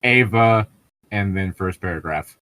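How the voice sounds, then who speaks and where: A man's voice: low-pitched, a little slow, pushed and bright, dry recording. A man co-hosting a casual fan fiction reading, riffing in a profane, sardonic way. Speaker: podcast co-host reading fan fiction aloud.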